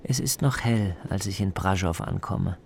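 A man narrating a scripted radio travel feature as the reporter, in a measured, descriptive way. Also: sits low, vocal fry, a little hiss or hum.

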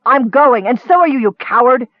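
A man plays a young noblewoman warrior from a fantasy audiobook. The delivery is urgent, headstrong and full of anguish, pitched high for a male voice. The sound is dull and lo-fi. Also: moderate pace, no room sound, close to an everyday voice. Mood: anguished, fierce. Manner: impassioned, urgent, breaking into cold resolve. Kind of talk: audiobook character acting. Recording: muffled, dull lo-fi recording.

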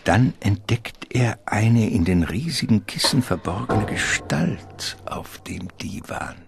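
An actor playing a solemn, ominous narrator of a gothic radio drama, measured and literary in delivery. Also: male voice, fairly low pitch, animated, vocal fry, over music, full character voice.

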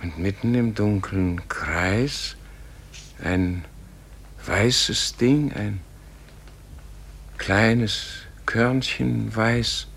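An actor playing a frail, weary and bewildered old man in a radio play, speaking in halting, broken phrases. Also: lowish voice, creaky, fairly bright tone, husky, exaggerated voice. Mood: awed, searching. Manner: slow, halting delivery in short broken phrases. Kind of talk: German radio play acting.

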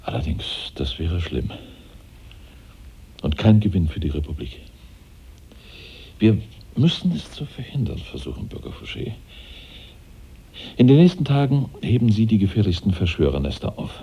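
An actor playing a commanding French revolutionary ruler who gives measured, lordly orders in a German radio play. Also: male voice, low voice, big pitch swings, gravelly, mellow, slightly rough, exaggerated voice. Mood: grave, commanding. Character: Barras, smooth-talking, commanding French revolutionary head of state.

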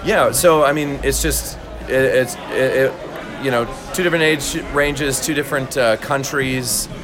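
A man being interviewed as a voice actor, chatting casually and explaining his craft in a halting, thoughtful way. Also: medium pitch, a crowd in the background. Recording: convention recording with loud background music.

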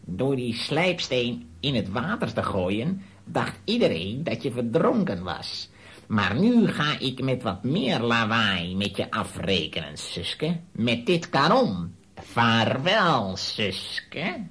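An actor playing a proud, menacing Italian nobleman villain in a children's audio drama, speaking in a deliberate, theatrical, threatening way. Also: mid-range voice, sing-song, fairly bright tone, husky, exaggerated voice. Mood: gloating, menacing.

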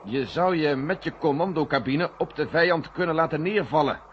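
An actor in a 1970 Dutch radio play, playing a grave, weary general who gives clipped commands from mission control. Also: male voice, fairly high pitch, normal speed, close to an everyday voice. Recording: dull, very noisy old radio recording.

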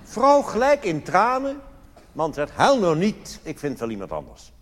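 Reverberant hall, male cabaret comedian, high-pitched voice, theatrical and mock-solemn delivery, live monologue. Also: wildly swooping pitch, a little slow, noisy background. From Netherlands. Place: theatre stage in a large hall.

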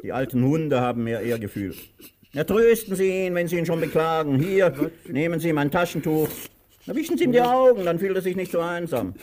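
An actor playing a cruel, pompous master of about sixty in a radio drama, declaiming theatrically and swinging between grandeur and self-pitying whining. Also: male voice, fairly high pitch, lively intonation, brisk, darkish tone, lightly acted. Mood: grandly mocking. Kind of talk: radio drama stage acting.

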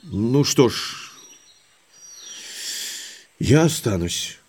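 Earnest baronet, very animated intonation. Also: male voice, medium pitch, extremely slow, breathy edge, full character voice. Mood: resigned. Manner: earnest, expressive. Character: earnest, uneasy baronet, deferring reluctantly to the detective. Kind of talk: audio drama acting.

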